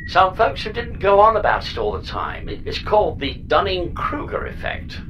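An actor playing a dry, pedantic, teasing robot companion in a sci-fi audio comedy, with a deadpan, needling manner. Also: medium pitch, normal speed, highs cut off, noisy background, close to an everyday voice. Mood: smug teasing. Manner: deadpan, matter-of-fact, needling.

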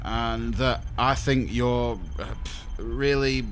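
A man's voice: mid-range voice, fairly even intonation, takes it slowly, soft background music, lightly acted. An actor playing an awkward, lovestruck crewman in a sci-fi comedy audio drama, hesitant and stammering. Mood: bashful, nervous. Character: awkward, bashful, lovestruck male space-pod crewman.

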